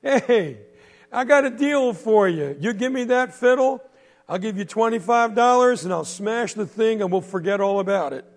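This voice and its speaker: A jeering, mocking middle-aged veteran who sits outside a store and taunts a boy, as a male storyteller puts him on. He pitches his voice up high and loud. It rings out in a big echoing hall. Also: animated, moderate pace. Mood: taunting.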